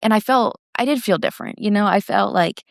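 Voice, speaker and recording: A teenage girl, co-hosting a podcast in casual, chatty and loud conversation. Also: mid-range voice, fairly quick, creaky, no echo, studio quality. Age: teens. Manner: chatty and loud, laughs between stories. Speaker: podcast co-host. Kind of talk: casual chat.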